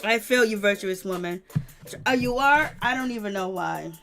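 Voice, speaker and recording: A hoarse woman hosting a livestream, speaking fervently and greeting viewers in the chat. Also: mid-range voice, moderate pace, fairly bright tone, faint music behind. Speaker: livestream host. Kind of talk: impassioned livestream commentary.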